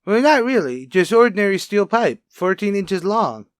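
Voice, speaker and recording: A man playing a wry, chatty police lab technician in a radio crime drama, brisk and casual. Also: sits high, sing-song, dry recording, clean studio sound. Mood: matter-of-fact. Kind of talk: old-time radio crime drama acting.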